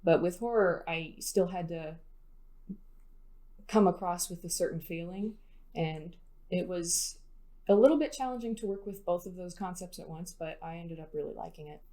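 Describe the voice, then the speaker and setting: Lowish, mellow-voiced woman in casual interview. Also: normal speed. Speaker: poet being interviewed. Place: recording studio.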